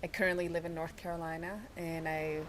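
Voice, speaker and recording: A woman from Venezuela describing a spiritual experience in a slow, searching way with vivid imagery. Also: low voice, little pitch movement, noisy background. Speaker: retreat guest. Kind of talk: reflective testimonial.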